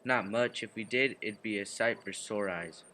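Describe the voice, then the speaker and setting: Guy doing a folksy gas station man in a radio play, lowish bright voice, chatty, faint crickets behind. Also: moderate pace, slight background noise. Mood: incredulous, blunt. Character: chatty, matter-of-fact male gas station attendant.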